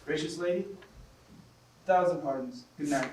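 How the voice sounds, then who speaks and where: A man's voice: fairly high pitch, normal speed, a little mellow, close to an everyday voice. A man on stage playing a brusque, formally courteous military officer in a classic comedy, with a commanding manner. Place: theatre stage, recorded from the audience. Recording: roomy, distant stage recording with hiss.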